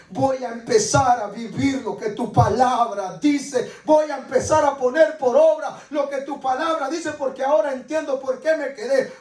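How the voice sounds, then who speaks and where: A man's voice: extremely high pitch, a little mellow. A man preaching a sermon as dramatic personal testimony, repeating his phrases with insistent emphasis. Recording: echoey room, distant microphone.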